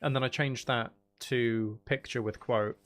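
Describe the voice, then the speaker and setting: A soft-spoken man chatting casually on a livestream as an ASMR creator, explaining things in a candid, aggrieved way. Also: medium pitch, steady tone, a little slow. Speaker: ASMR content creator and streamer. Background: music in the background.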